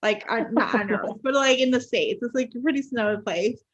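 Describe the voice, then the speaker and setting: A woman's voice: on the high side, fairly quick, dull audio. A woman from Puerto Rico hosting a book podcast, chatting casually with many "like" fillers. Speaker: podcast host. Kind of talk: casual interview chat.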